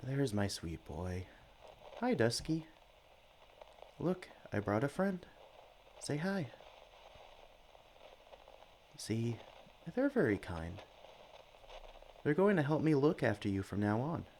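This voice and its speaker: A man playing a friendly, caring animal sanctuary worker in an ASMR roleplay, talking gently and encouragingly to a newcomer. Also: medium pitch, sing-song, slow, pauses often, slight background noise, low-quality recording. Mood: hushed, affectionate. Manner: gentle, encouraging, chatty, coos to creatures. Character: friendly, caring experienced male Pokemon sanctuary worker showing newcomer around. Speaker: voice actor in audio roleplay. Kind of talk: ASMR roleplay, acted one-sided conversation.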